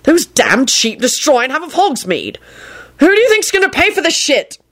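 A furious boss of a man, acted out by a woman storyteller, exasperated and sarcastic. He rants and swears, and his pitch swings widely as he scolds. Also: wildly swooping pitch, normal speed, a bit bright. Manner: ranting, sarcastic, profane. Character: furious, exasperated male boss with a bellowing temper. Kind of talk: dramatic storytelling of fan fiction.

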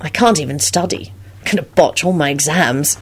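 A woman plays a gentle, earnest teenage wizard boy from a storybook. The voice sits low and has a brooding, thoughtful manner. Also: moderate pace, husky. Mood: pensive, gloomy.

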